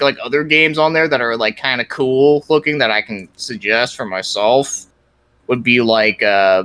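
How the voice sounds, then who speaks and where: A man's voice: medium pitch, pushed and bright, dry recording. A young man chatting casually as a podcast guest about his interests, enthusiastic, slangy and rambling. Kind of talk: casual gaming podcast chat.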